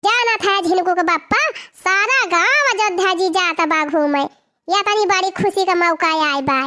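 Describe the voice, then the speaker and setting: Cartoon village wife: Awadhi accent, extremely high sharp voice, determined, scolding, complaining. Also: unhurried, full character voice. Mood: coaxing. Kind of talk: comedy cartoon dialogue in village dialect.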